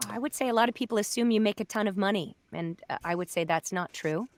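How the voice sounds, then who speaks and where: Woman, voice-actor panelist, conversational, average pitch, dry home studio. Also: fast talker, dry recording. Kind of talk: casual panel discussion. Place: home recording space.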